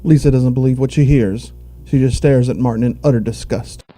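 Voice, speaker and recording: A man's voice: medium pitch, steady tone, a little fast, dark tone, soft background music. A man narrating a scripted audio drama, telling the action in the present tense.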